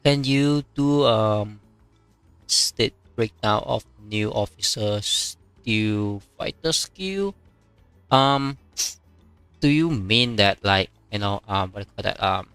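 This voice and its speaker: A man whose first language is Chinese talks through his game in a halting, friendly stream chat, leaning on "um" and "you know" often. His voice sits a little low but sounds bright and forceful, recorded close and dry, with faint game music underneath. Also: smooth, no room sound. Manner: halting, many fillers, reads chat aloud. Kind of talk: casual live-stream commentary.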